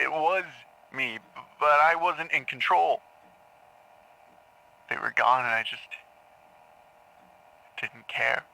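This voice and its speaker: A man's voice: mid-range voice, gravelly, sharp tone, exaggerated voice, poor audio. An actor playing a troubled male patient in an audio drama, confused and haunted, stammering and sometimes dropping into a near-whisper. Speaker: therapy patient.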